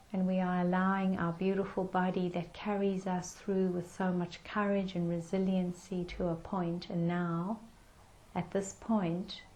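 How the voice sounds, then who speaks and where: A woman leading a guided meditation in a gentle, soothing manner with unhurried pauses. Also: fairly low pitch, poor audio.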